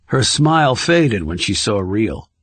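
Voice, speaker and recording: A man's voice: lowish voice, big pitch swings, a little slow, slightly rough, no room sound. A man reading scripted thriller narration as an audiobook narrator.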